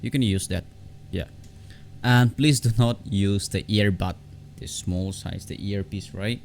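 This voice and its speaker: Low, gravelly man giving a halting tutorial. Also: a little mellow. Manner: halting, repeats words and phrases. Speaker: tech tutorial vlogger. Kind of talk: informal step-by-step software tutorial. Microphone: separate desktop condenser microphone. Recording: low background noise on home recording.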